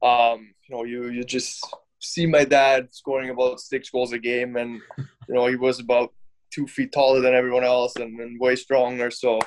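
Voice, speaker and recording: Flat-toned hockey player in casual interview. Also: male voice, medium pitch, normal speed, low-quality recording. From born in Finland, German citizen. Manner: relaxed, hesitant, many fillers and restarts.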